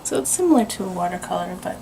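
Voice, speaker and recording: A woman illustrator talking through a painting demonstration in her studio, thinking aloud and murmuring softly as she works. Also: on the low side, expressive, racing, vocal fry, noisy background. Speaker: author and illustrator.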